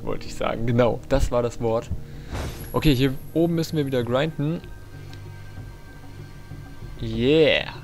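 A young man giving casual, excitable video game commentary, talking to himself with playful exclamations. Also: medium pitch. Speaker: let's play host.

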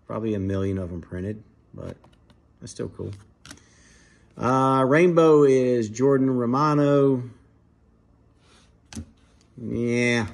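A man casually commenting to viewers while opening trading card packs at a dining table at home, in a relaxed, chatty way. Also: medium pitch, slow, creaky, pauses often. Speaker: card breaker, channel host.

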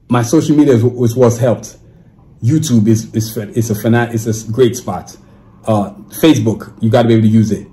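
A man's voice: mid-range voice, warm tone. A man in his thirties hosting his own podcast, talking casually at a desk in a small room, reading sponsor plugs in a slangy, laid-back way. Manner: laid-back slangy talk with lots of "you know what I'm saying". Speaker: podcast host.

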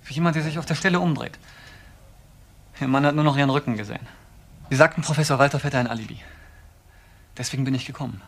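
A man's voice: mid-range voice, fast talker, long pauses, fairly bright tone. A man playing an obsessive, insistent accuser in a German crime radio drama, brooding over every point. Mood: urgent, brooding.

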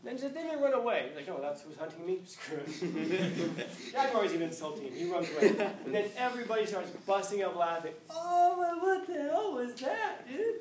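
Animated teacher, echoey classroom. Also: male voice, high-pitched, normal speed, recorded from a distance, crowd noise. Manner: animated, acts out scenes with playful voices. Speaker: high school teacher. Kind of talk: classroom lecture. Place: classroom, indoors. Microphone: far camera.

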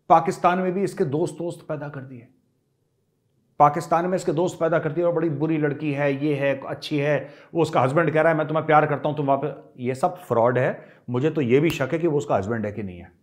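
Guy commenting on a show, emphatic and repetitive, slightly high voice, talks right at the viewers. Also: lively intonation, a little fast, a little mellow. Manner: emphatic, repeats his points, addresses viewers directly. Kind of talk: explanatory commentary.

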